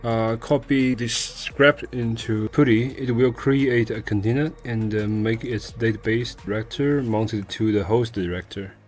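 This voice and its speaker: A man presenting a step-by-step software tutorial in an unscripted, halting way, pausing to search for words. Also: medium pitch, soft background music. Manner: hesitant, halting delivery with searching pauses.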